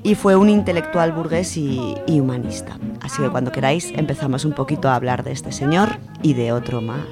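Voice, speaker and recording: A woman's voice: very deep voice, fairly quick, loud music behind the voice. A woman with an Aragonese Spanish accent presents a literary segment on a radio show, speaking in a chatty, explanatory way with many fillers.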